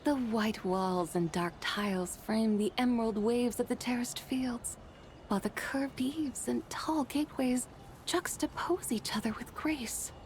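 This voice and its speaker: A woman's voice: a little high, measured pace, darkish tone, slight background noise, lightly acted. An actress playing a serene, gracious otherworldly lady in a video game, speaking in formal, courtly language. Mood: serene, admiring. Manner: formal, eloquent, poised. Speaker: game voice actor. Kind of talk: poetic, courtly game character acting.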